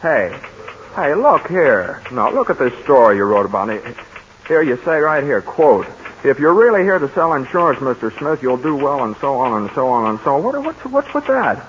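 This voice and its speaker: A man's voice: medium pitch, sing-song, normal speed, close to an everyday voice. A man plays an eager young salesman in a 1940s radio play whose voice swings up and down as he stammers and pleads. The broadcast sound is muffled and dull, with a faint hiss behind. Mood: flustered. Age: young adult. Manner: stammering and earnest, flaring up. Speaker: insurance man new to town.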